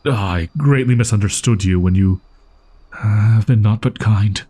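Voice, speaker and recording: A man's voice: lowish voice, unhurried, mellow, husky. A man voicing a proud, easily flustered young wolf-boy in an audio roleplay, speaking in haughty, archaic, formal phrasing. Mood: sheepish apology.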